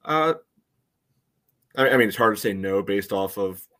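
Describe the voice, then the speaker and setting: Male sports podcast panelist, lowish voice, casual analysis, measured and hedging delivery. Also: lively intonation, sharp tone.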